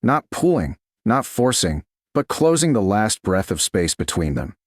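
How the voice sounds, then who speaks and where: A man narrating scripted romance fiction slowly and dramatically, in measured, deliberate phrases. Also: fairly low pitch, sing-song, no echo.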